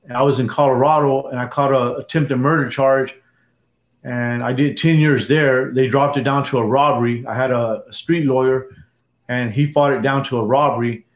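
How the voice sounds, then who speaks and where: A man in his sixties recounts his past in an interview, stopping and restarting his sentences as he goes. His voice sits at a middle pitch. The recording is roomy and somewhat dull. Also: fairly even intonation. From Dallas, Texas. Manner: halting, rambling storytelling with many restarts. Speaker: interviewee, former prison gang member. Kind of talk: personal life story in an interview. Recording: echoey room, dull lo-fi sound.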